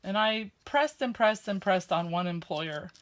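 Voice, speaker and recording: A woman's voice: medium pitch, animated, unhurried. Chatty woman with a slight lisp. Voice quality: loud voice that carries. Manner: chatty, rambling, with frequent fillers. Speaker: crafting vlogger. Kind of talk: casual chat while crafting.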